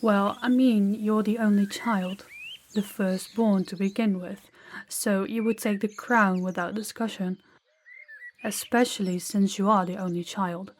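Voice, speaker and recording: A woman playing a proud, guarded young princess in an intimate audio roleplay, speaking in a measured, formal, slightly awkward way to the listener. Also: mid-range voice, fairly even intonation, slight background noise, natural voice. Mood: sympathetic, candid.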